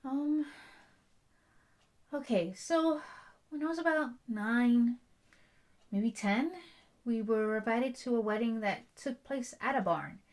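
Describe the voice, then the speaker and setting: A woman's voice: on the high side, takes it slowly, long pauses, darkish tone. Headstrong young bride-to-be, blunt and chatty woman acting. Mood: wry recollection. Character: headstrong, stubborn bride-to-be, werewolf prince's future wife. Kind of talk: intimate ASMR roleplay dialogue.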